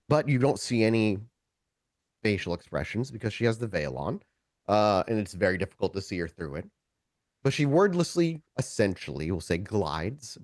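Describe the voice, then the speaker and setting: A man runs a tabletop roleplaying game in a very dry-sounding space. His pitch moves around a lot as he describes scenes conversationally, stopping for dramatic pauses and rewording as he goes. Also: no echo. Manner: halting, self-correcting, dramatic pauses. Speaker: storyteller, game master of a tabletop roleplay show.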